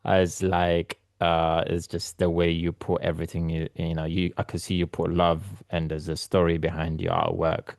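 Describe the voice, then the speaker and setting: A man's voice: sits low, monotone, moderate pace, hardly any pauses, darkish tone, no room sound, studio quality. A man in his thirties, born in Ethiopia and raised in London, talking casually as a guest photographer, rambling with many ums and restarts. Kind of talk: casual interview chat. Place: remote call from London.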